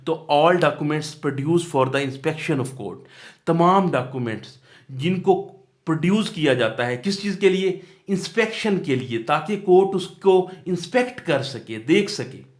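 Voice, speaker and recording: A man with a Pakistani accent giving an explanatory law lecture, didactic, posing questions and answering them himself, mixing in English terms. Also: fairly high pitch. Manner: switches between Urdu-Hindi and English legal terms. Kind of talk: explanatory exam lecture, reading out definitions and breaking them down.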